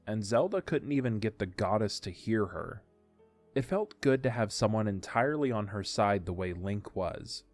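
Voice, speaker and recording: A man reading scripted narration for an audio drama story. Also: on the low side. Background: faint music.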